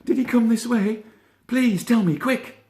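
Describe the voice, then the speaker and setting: Frantic, very high-pitched father rabbit. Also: a little slow, reverberant. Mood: frantic pleading. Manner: shouting, urgent, pleading. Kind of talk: children's storybook character voice.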